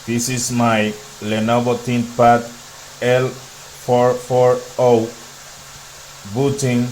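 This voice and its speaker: Male; very slow, halting tech narration with a faint hum underneath. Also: medium pitch, flat delivery, darkish tone, low-quality recording. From Dominican Republic. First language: Spanish. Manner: speaks in short broken phrases with pauses and fillers. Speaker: tech YouTuber.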